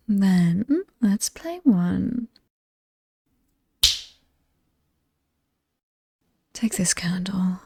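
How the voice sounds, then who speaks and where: Alluring she-devil of a haunted estate, acted by a woman, British, mocking, mellow and breathy, very close and dry, horror ASMR. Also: medium pitch, dry recording, heavily acted. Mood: menacing, playful. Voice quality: breathy, often whispered, soft. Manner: slow, taunting, teasing. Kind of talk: horror ASMR roleplay, scripted monologue.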